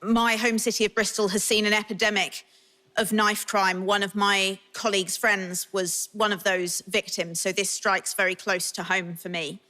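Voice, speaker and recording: A woman's voice: mid-range voice, flat delivery, normal speed, sharp tone. A woman politician making her case in a televised political debate. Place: radio theatre stage.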